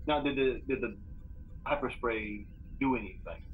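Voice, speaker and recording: A man's voice: medium pitch, normal speed, phone-quality sound. A man playing a tabletop game, chatting casually and hesitantly, repeating words as he thinks. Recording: clearly noisy recording.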